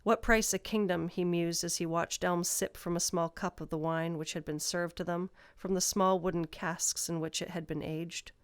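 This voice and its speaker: A woman's voice: on the low side, fairly even intonation, normal speed, hardly any pauses, smooth, dry recording. A woman narrator reading a scripted fantasy story.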